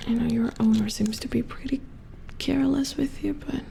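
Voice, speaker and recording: A woman playing a puzzled, mildly grumbling robot repair engineer in a soft-spoken ASMR roleplay, hushed and halting as if muttering to herself. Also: on the high side, steady tone, vocal fry, slight background noise. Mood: mildly scornful.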